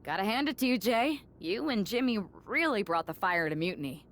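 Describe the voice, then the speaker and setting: A woman's voice: mid-range voice, lively intonation, fairly quick, fairly bright tone, a little hiss or hum, lightly acted. A woman playing a composed, strategic pro wrestler in a video game cutscene, decisive and matter-of-fact. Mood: calculating.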